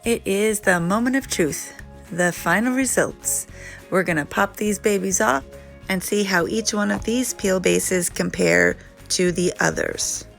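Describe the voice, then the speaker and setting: A woman hosting a casual nail tutorial, sounding a little congested from allergies, chatty and relaxed with frequent ums. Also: mid-range voice, measured pace, vocal fry, faint music behind. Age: adult. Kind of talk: casual how-to chat.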